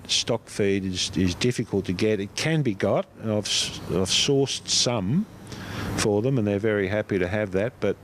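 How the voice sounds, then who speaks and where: A man's voice: lowish voice, a little slow. A man giving a news interview as an activist. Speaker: farmers' activist being interviewed. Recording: noisy ambience with vehicle sound.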